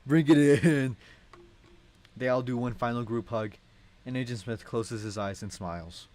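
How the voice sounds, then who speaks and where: A man's voice: medium pitch, moderate pace. Young guy doing a loose read-along of a script, informal and kinda amused, keeps cutting himself off. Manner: informal, self-interrupting, amused. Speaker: narrator reading a script.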